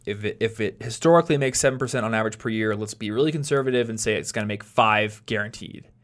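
A man in his late twenties hosting a podcast, explaining things in a relaxed chat while thinking aloud and restarting his sentences. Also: on the low side, fast talker. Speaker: podcast host.

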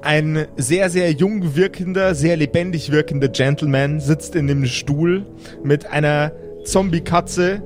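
Halting male game master over music. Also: on the high side. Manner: halting, searching for words, self-correcting. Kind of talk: improvised tabletop game narration.

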